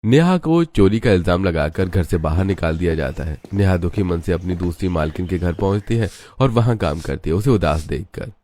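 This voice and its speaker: A man's voice: deep voice, lively intonation, normal speed, few pauses, dark tone, a little hiss or hum. A man narrating a scripted moral story.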